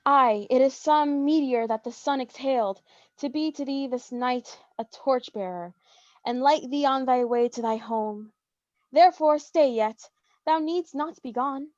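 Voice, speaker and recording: A woman's voice: high-pitched, unhurried, darkish tone, no echo. A woman playing a lovestruck teenage girl in theatrical Shakespearean verse, on a lo-fi online microphone. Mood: pleading. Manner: dramatic, theatrical delivery. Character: lovestruck teenage girl pleading with her boyfriend. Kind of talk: Shakespearean verse acting in an online staged reading.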